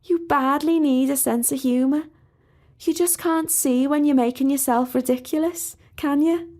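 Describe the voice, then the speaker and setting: A woman's voice: sits high, fairly even intonation, moderate pace, a little mellow, pitched above the performer's own voice, close to an everyday voice. A woman voicing an earnest young female student who pleads with her friend. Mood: exasperated.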